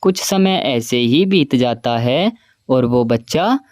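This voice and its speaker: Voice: medium pitch, expressive, slow, darkish tone, dry recording, clean studio sound. A narrator telling a children's cartoon story in a plain storytelling way.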